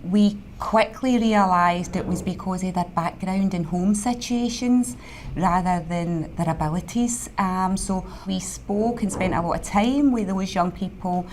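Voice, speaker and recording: A woman's voice: on the low side, a little slow, darkish tone, slight background noise. A Scottish woman, a mentor in a school, talking earnestly with a former student. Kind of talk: interview conversation.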